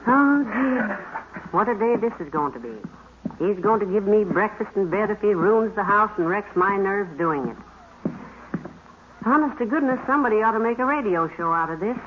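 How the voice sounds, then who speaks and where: A woman playing a patient housewife who answers her husband with dry, deadpan wisecracks. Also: medium pitch, moderate pace, natural voice. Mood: wry. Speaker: long-suffering wife. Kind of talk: 1950s radio sitcom acting. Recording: dull old radio broadcast with hiss.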